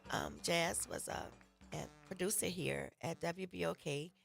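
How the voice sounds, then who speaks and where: A woman hosting a radio cooking show, loud and laughing often, her voice a little gravelly from losing it. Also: on the low side, a little slow, faint music behind. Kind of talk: casual radio talk show chat.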